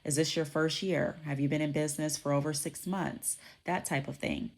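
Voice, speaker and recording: A woman's voice: low voice, fairly even intonation, normal speed, a little mellow. A woman hosting her own podcast, explaining business topics in a conversational way while congested from a cold, often checking in with "okay?". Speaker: podcast host.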